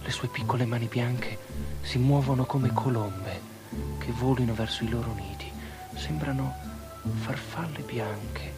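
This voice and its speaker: An actor playing a lovestruck young officer entranced by a princess, in poetic, rapt, incantatory radio drama style. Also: male voice, mid-range voice, unhurried, natural voice. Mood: rapt, hushed. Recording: radio drama over loud music.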